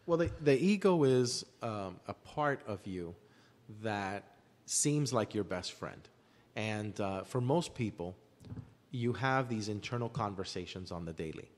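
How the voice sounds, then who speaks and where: A man's voice: on the low side, lively intonation, measured pace. A man explaining his opinions at length in a discussion, emphatically repeating phrases for effect. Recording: long hall-like reverb.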